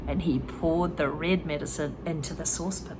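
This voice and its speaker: A woman's voice: sits low, fairly even intonation, normal speed, noisy background. A woman reading a children's story aloud and stopping to explain English words and expressions to learners. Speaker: reader and English teacher.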